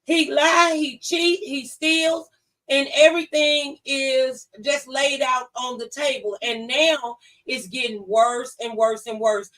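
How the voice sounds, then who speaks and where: A woman's voice: sits high, fairly even intonation, takes it slowly, fairly bright tone. A woman hosting a live call-in talk show in a conversational way. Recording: distant from the microphone.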